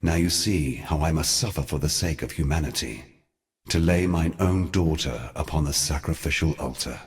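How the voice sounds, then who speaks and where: An actor voicing an ancient, weary and scornful god, delivering grave, formal storytelling for a video game in a measured, theatrical way. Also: male voice, rumbling low. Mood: grave, brooding. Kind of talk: scripted dramatic video game narration.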